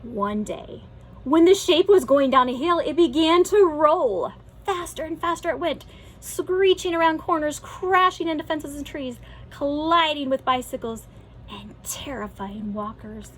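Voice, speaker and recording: High-voiced woman reading a children's storybook. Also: a little slow, poor audio. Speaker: teacher reading aloud to pupils. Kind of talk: expressive children's storybook read-aloud.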